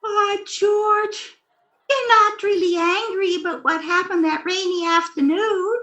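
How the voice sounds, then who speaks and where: A woman's voice: very high-pitched, fairly even intonation, unhurried, pushed and bright, lo-fi sound, heavily acted. A woman playing a sweetly naive, scatterbrained wife in a 1940s-style radio comedy, with a girlish voice. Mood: coy, innocent. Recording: roomy, echoing recording.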